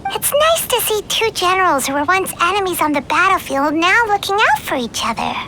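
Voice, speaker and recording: A woman voicing a tiny, cheeky, childlike fairy companion in a video game, with a squeaky, perky delivery. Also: sing-song, brisk, pushed and bright, a little hiss or hum, exaggerated voice. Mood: impressed. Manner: perky, speaks of herself in third person. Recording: music under the voice.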